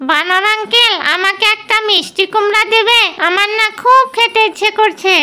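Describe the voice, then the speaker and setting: A woman's voice: extremely high pitch, normal speed, pushed and bright. A woman voicing an innocent little animal child in a cartoon, naive and pleading.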